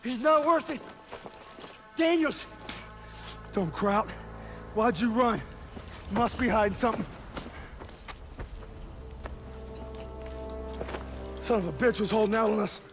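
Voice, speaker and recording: An actor playing a tense young American soldier in a war video game, speaking up urgently and earnestly. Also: male voice, sits high, normal speed, long pauses. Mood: urgent, angry. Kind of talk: cinematic war game acting. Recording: loud music behind the voice.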